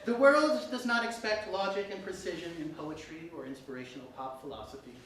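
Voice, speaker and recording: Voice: medium pitch, a little fast, a bit bright. A person telling scripted comic fairytales live, with theatrical delivery and frequent asides. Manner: theatrical, often breaking into laughter and asides. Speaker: storyteller performing comic fairytales. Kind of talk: live comedic storytelling, scripted narration. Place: live performance in a room with audience. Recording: echoey live room, distant mic, background noise.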